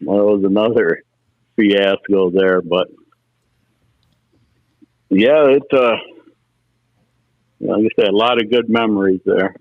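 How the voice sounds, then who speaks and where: A middle-aged man speaks over a narrow, tinny phone connection in a fairly low voice. He is a former racer reminiscing casually, spinning folksy anecdotes as an interview guest. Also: takes it slowly, pauses often, dry recording. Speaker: former race car driver, interview guest. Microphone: telephone. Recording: muffled, narrow telephone line.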